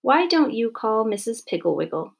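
Lady doing a storybook dad, firm and sensible, kind of lecturing, measured delivery. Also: on the high side, big pitch swings, vocal fry, breathy edge, full character voice. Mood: decisive. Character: firm, reasonable husband and stern father.

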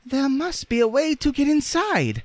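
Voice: high voice, wildly swooping pitch, normal speed, no echo, pitched above the performer's own voice. 音调极高、活泼热切的小布娃娃安. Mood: eager. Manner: bright, eager, exclamatory.

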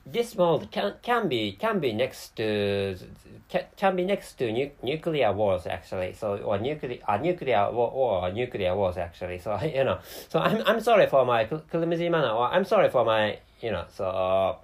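A man with a Japanese accent, a non-native English speaker, hosting a solo podcast and talking haltingly with many restarts and self-corrections. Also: medium pitch, big pitch swings. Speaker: podcast host.

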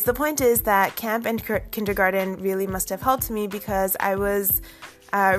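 A woman's voice: medium pitch, a bit bright. A young woman from Canada telling a personal story in a chatty way, full of asides. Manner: chatty, many fillers and asides. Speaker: vlogger.

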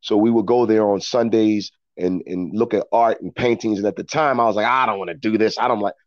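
A middle-aged American man telling reflective personal stories as an interview guest, in a halting way, often repeating words as he thinks. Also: on the low side, steady tone, no echo, highs cut off. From Philadelphia, United States. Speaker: interview guest, actor and storyteller. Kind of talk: reflective personal storytelling in conversation.

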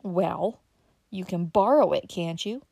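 A woman reading an audiobook voices a fat, folksy old country man who talks in rural dialect, loud and excitable. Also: fairly low pitch, slow, creaky, no echo, full character voice. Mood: insistent.

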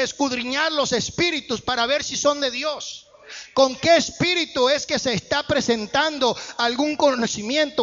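A man's voice: very high-pitched, a bit bright. A man preaching a sermon in a church hall, with emphatic rising bursts. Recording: muffled church hall echo.

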